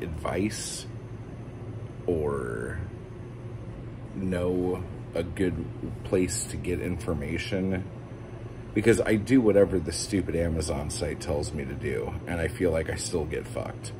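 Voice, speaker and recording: A man in his forties vlogging at home, rambling and swearing as he vents his frustrations and low mood. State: feeling low and tired. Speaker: poet vlogger. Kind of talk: casual confessional vlog. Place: indoor room with ceiling fan. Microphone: phone camera held low, close. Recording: noisy phone recording.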